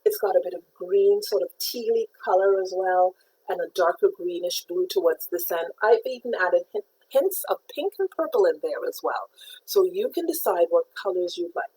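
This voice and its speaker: Patient woman teaching painting, breathy voice. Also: fairly low pitch, expressive, moderate pace, low-quality recording. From Trinidad. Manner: patient, step-by-step instruction; greets viewers brightly by name. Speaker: art teacher. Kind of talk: live-streamed painting lesson.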